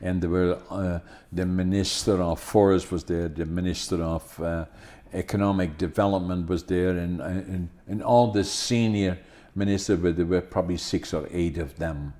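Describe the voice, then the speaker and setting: An older man with a Dutch accent whose English is his second language, speaking in a low, mellow voice. He pauses often and restarts his phrases as he talks, in an unhurried podcast conversation. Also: steady tone. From Netherlands. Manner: halting, many restarts and fillers. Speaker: podcast host. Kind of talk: casual conversation.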